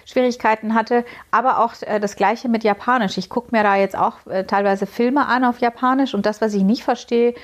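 A woman's voice: fairly high pitch, fairly even intonation, moderate pace, hardly any pauses. Talkative woman chatting on a podcast. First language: Azerbaijani. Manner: talkative, rambling, many fillers. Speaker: cabin chief and recruiting expert, podcast co-host. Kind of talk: casual podcast conversation.

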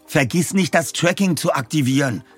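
An actor playing an earnest, eager young detective in radio play dialogue. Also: male voice, a little high, normal speed, slightly rough, soft background music. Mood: urgent, tense.

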